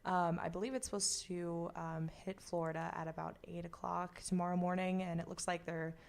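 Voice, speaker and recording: A woman's voice: lowish voice, flat delivery, fairly quick, gravelly. A young American woman chatting casually on air as a radio host.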